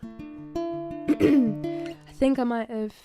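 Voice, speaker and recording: A woman talks softly between songs from a small, cosy corner of her home, her voice a little nasal and stuffy from a blocked nose. She keeps it casual and often drops into quiet, whispered asides. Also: on the high side, fairly even intonation, drawn-out words, highs cut off, music in the background. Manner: soft-spoken, drifting into quiet whispered asides. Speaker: singer-songwriter performing a livestream concert. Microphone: home livestream setup.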